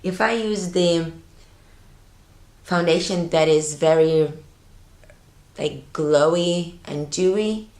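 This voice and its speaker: A woman's voice: lowish voice, slow pace, pauses often, darkish tone, reverberant. A woman in her thirties from Latvia, chatting casually through a makeup tutorial on her sofa, rambling with fillers. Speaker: beauty vlogger. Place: living room, on a sofa, indoors.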